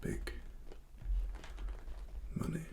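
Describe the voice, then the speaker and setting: A British man performing a softly spoken ASMR roleplay as a grave cyberpunk investigator, breathy and partly whispered, with deliberate pauses. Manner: slow, deliberate, hushed, with long pauses. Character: grave cyberpunk investigator uncovering corporate wrongdoing.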